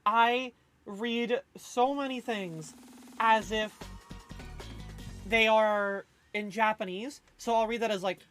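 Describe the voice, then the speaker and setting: A woman's voice: fairly high pitch, slow, fairly bright tone. A woman livestreamer chatting casually with her viewers, reading chat aloud in a loose, rambling, slangy way. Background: faint background music.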